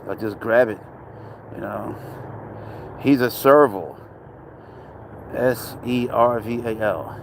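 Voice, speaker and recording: A man's voice: mid-range voice, slow pace, vocal fry, pauses often. Male streamer from Spain, casual and rambling, answering chat live outdoors, light street noise. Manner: chatty, rambling, answers chat questions aloud. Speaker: livestream host. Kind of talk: casual livestream chat reading viewer comments.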